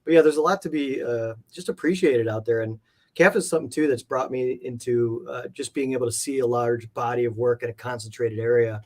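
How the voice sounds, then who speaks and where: A man's voice: medium pitch, fairly quick, a little mellow. A man speaking as an interview guest, chatting casually in a rambling, reflective and enthusiastic way. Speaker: interview guest, art collector. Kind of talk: casual chat.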